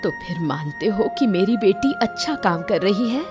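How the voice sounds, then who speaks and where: A woman's voice: mid-range voice, animated, normal speed, music in the background, close to an everyday voice. A woman playing a proud, protective village mother in a cartoon, speaking with firm emotion. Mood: proud, reproachful.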